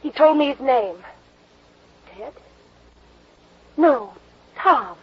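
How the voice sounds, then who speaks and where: A dull vintage radio recording of a dazed young woman under suspicion. Her American voice is high and breathy. She speaks in a faltering, anxious, pleading way, as in 1950s radio drama. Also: animated, drawn-out words, full character voice. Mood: dazed, confused. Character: dazed, anxious young female murder suspect.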